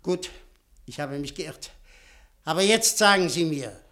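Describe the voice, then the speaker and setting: An actor in a German radio play playing an imperious, suspicious official who presses and threatens insistently. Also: male voice, on the high side, lively intonation, a little slow. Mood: suspicious, pressing. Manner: insistent, forceful, theatrical delivery.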